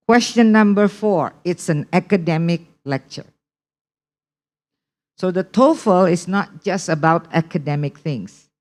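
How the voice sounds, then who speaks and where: Teacher, Indonesian-accented woman, unhurried lecture, low voice, keeps asking "okay?". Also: expressive, a little mellow. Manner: repeats key points, checks in with "okay?". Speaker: English test prep teacher. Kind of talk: online class lecture.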